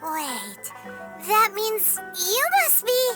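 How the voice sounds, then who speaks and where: A woman's voice: very high voice, very animated, very slow, over loud music, heavily acted. A woman voicing a tiny, curious, childlike fairy companion in a video game, bold, blunt and full of exclamations. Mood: suspicious, cheeky.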